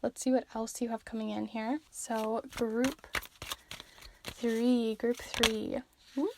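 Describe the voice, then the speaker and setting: A young woman giving a chatty tarot reading, a little hoarse as she is losing her voice. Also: fairly high pitch, level tone, takes it slowly, background noise. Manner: chatty, filler-heavy, warm encouragement. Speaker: tarot reader. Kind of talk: casual tarot reading to camera.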